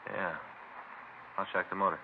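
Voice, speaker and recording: An actor playing a laconic detective partner in a vintage radio crime drama, terse and matter-of-fact. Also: male voice, sits low, flat delivery, moderate pace. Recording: tinny, noisy vintage radio recording.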